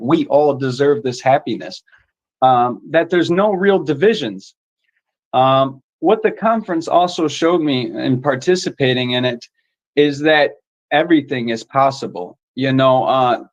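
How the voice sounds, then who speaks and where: Sincere American man, reflective. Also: medium pitch. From Michigan, United States. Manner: reflective and sincere, with soft fillers. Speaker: conference participant sharing his experience. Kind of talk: unscripted speech to an online audience. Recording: dull lo-fi audio.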